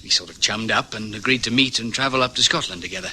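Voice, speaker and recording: Earnest young man, very bright voice. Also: medium pitch, fast talker, slight background noise. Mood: deferential, earnest. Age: young adult. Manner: deferential, earnest, slightly hesitant. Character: polite, earnest young traveller worried about his friend.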